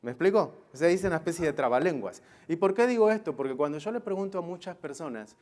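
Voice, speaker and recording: A man's voice: fairly high pitch, animated, fast, a little mellow. A man teaching a Bible class in a didactic way, posing rhetorical questions and often checking that his listeners follow. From Israel.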